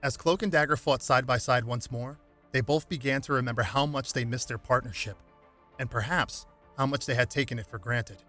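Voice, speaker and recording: A man's voice: mid-range voice, fairly quick, bright, forceful tone, soft background music. A man reading a superhero story aloud as scripted dramatic narration.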